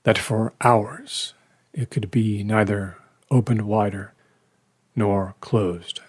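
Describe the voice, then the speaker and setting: An actor playing a reflective lawyer, narrating a horror audio drama in the first person with a measured, wistful, understated delivery. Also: male voice, lowish voice, steady tone, breathy edge, no room sound. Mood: wistful, somber. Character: reflective male lawyer recalling an old murder trial.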